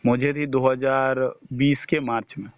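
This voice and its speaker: Male health advisor, mid-pitched voice, informal and reassuring, repeats himself to stress a point; tinny phone-call recording. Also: flat delivery, a little slow, clean tone. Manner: reassuring, repeats words for emphasis.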